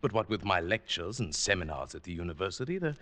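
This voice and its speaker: An actor playing a mild, henpecked middle-aged professor with a hesitant, apologetic manner and quiet resentment, in a 1940s radio drama. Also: male voice, low voice, big pitch swings, fast talker. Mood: meek, submissive.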